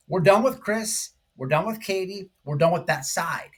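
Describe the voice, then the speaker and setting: A man's voice: sits high, lively intonation, normal speed, breathy edge. A man hosting informal true crime commentary, speaking emphatically in slangy language and often checking in with the listener. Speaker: YouTube commentary host.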